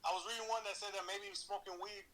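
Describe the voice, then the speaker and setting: A man's voice: sits high, fairly even intonation, fast talker, bright, forceful tone. A young man, co-hosting a podcast in casual chat, slangy and profane and laughing often. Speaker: podcast co-host.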